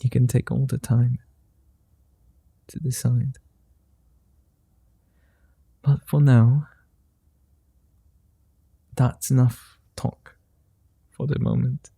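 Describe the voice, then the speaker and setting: A man voicing a gentle, flirtatious male vampire in an ASMR roleplay, speaking softly and formally and slipping into whispers. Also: medium pitch, vocal fry, husky, no echo, full character voice. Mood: soothing. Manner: soft-spoken, slow, formal, drifting into whispers.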